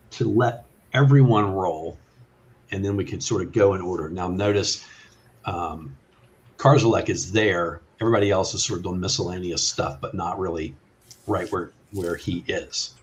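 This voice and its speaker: A man with a slightly low voice narrates a tabletop game as game master. He speaks haltingly and thinks aloud as he goes. There is faint noise on his online call.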